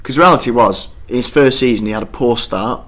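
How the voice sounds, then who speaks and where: A young man, co-hosting a casual football podcast and talking in an animated, opinionated way. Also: mid-range voice, fast talker. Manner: animated, opinionated, repeats himself when unsure. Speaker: podcast co-host. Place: small studio room with brick wall and curtain. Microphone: desk mic.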